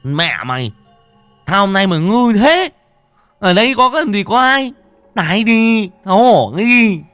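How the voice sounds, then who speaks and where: Man acting a loud, high-pitched drunken villager. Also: sing-song, moderate pace, clear, resonant tone, no echo, faint music behind. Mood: drunken, jeering. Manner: shouting, taunting. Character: crude drunken village man, brash and jeering.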